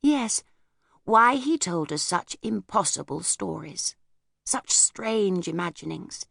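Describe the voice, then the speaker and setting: A woman voicing a reserved, wistful older lady in an audiobook, speaking gently and hesitantly as she looks back. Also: lowish voice, expressive, takes it slowly, gravelly, dry recording, studio quality, heavily acted. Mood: reminiscing. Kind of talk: audiobook character voice.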